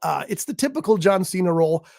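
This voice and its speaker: Croaky-voiced man reviewing movies. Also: high-pitched, expressive, fast, a little mellow, no echo, studio quality. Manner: enthusiastic, rambling movie reviewer. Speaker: show host. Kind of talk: casual showbiz chat. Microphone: studio mic on a live stream.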